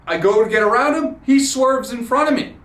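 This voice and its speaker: Man, podcast host, sarcastic rant, raised pitch, roomy echoey recording. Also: fairly quick, a bit bright. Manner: blunt, profane, sarcastic storytelling. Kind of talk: ranting monologue.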